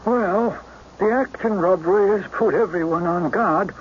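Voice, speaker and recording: An eager, respectful police inspector reports facts in a high-pitched voice. The radio drama sound is dull, with faint hiss. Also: male voice, unhurried. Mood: earnest. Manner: eager, factual, deferential. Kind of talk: radio mystery drama acting. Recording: muffled, hissy old radio recording.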